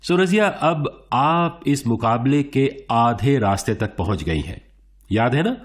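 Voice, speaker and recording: A man playing a suave, encouraging game show host in a radio play, presenting theatrically with deliberate pauses. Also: mid-range voice. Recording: music bed behind the voice.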